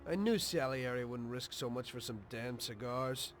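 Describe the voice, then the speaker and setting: A man's voice: mid-range voice, normal speed, a little hiss or hum, natural voice. An actor playing a wary, level-headed American mobster in a crime game, blunt and skeptical with his partner. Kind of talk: video game cutscene acting.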